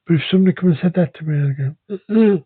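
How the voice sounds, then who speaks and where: A man who speaks English and Welsh, talking in a hesitant, halting way with many restarts in a casual conversation. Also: a little high, breathy edge, no echo. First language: English and Welsh bilingual. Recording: dry, phone-like narrow sound.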